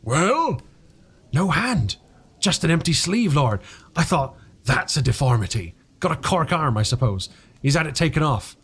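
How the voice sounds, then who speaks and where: A man voicing a frightened, insistent man recounting an uncanny encounter in an emphatic, halting way, as in a dramatised audiobook. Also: fairly high pitch, sing-song, fairly bright tone, slightly rough, pitched above the performer's own voice, full character voice. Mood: agitated, baffled.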